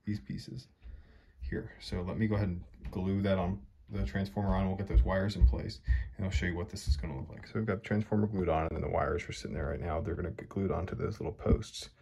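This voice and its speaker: A man with a deep, low voice talks through his model train scenery step by step. His manner is easygoing, and he thinks aloud as he works. He is recorded on a phone camera in his hobby room. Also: fast talker, vocal fry, breathy edge. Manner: relaxed, thinking aloud while working. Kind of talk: casual how-to narration.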